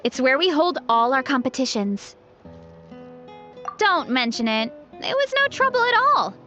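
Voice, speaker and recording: A woman voicing an energetic, friendly young tribeswoman in a video game, breezy, casual and playfully dramatic. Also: sits high, unhurried, lo-fi sound, faint music behind, heavily acted. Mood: upbeat. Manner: breezy, casual, dramatic about small things. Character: energetic athletic young tribeswoman, friendly, food-loving, laid-back yet headlong.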